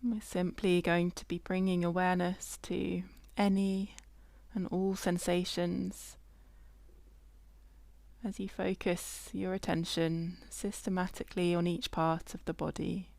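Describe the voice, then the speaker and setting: A woman leading a guided body scan meditation in a gentle, unhurried way, leaving long pauses between phrases. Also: fairly low pitch, little pitch movement, gravelly, no room sound.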